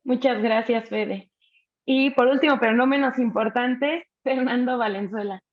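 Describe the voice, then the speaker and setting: A woman's voice: a little high, normal speed, phone-quality sound. A woman hosting a webinar over a video call, moderating in a conversational way and often tagging her phrases with a question. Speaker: host.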